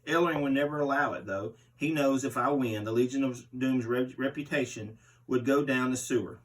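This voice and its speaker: A man's voice: medium pitch, fairly quick, close to an everyday voice. A man reading aloud as a brutal, boastful barbarian pro wrestler in a menacing promo style. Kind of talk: interview read aloud as a wrestler. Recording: distant microphone.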